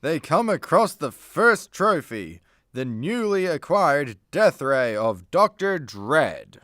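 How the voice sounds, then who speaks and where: A man's voice: a little high, very animated, slow, fairly bright tone, no echo, studio quality. A man reading scripted narration for a radio play.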